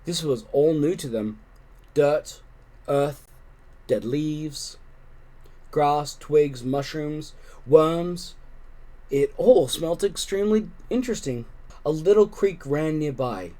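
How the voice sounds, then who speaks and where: A man with a fairly high, soft-toned mellow voice reads a children's story aloud. He phrases it deliberately and with expression, as a storybook reader.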